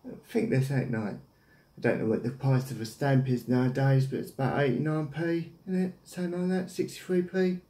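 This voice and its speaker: A man's voice: medium pitch, fairly even intonation, brisk, warm tone. A man in his thirties with an English accent chats casually as a caller, hesitant and rambling, in a domestic room. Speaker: prank caller. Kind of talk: casual phone chat. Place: small domestic room, indoors. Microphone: camera at about arm's length. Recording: echoey room.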